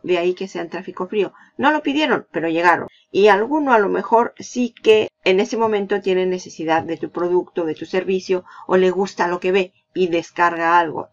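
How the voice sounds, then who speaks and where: A woman's voice: on the low side, highs cut off. A woman teaching a screen-recorded online marketing tutorial, chatty and explanatory, often repeating her points for emphasis. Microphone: voice-over over screen capture.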